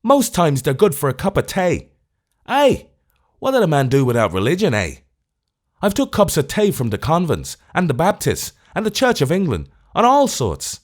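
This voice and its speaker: A man's voice: a little high, wildly swooping pitch, moderate pace, pitched above the performer's own voice. A man voicing a self-pitying, middle-aged Irish tramp who whines in an Irish accent. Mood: whining.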